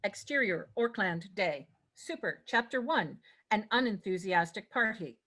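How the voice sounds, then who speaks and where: Woman narrator, bright forceful tone, medium pitch, scripted stage-direction reading. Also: lively intonation, unhurried. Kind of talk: scripted narration at a table read.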